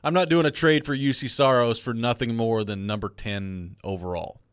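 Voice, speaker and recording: A man's voice: medium pitch, moderate pace, smooth, dry recording, studio quality. A man hosting a sports radio show in casual, conversational talk. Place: dry radio studio.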